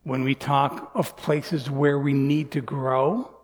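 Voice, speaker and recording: A man in his sixties preaching a sermon as pastor, earnest, dropping to soft near-whispers and rising to emphatic lines. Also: medium pitch, fairly even intonation, slow. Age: 60s. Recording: long hall reverb.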